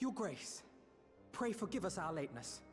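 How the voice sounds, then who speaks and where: Game role: sincere young idealist, notably high-pitched, prominent music underneath. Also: male voice, very animated, moderate pace. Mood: respectful. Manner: sincere, hesitant. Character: earnest, idealistic gentle young man. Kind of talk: dramatic video game cutscene acting. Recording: orchestral music behind the voice.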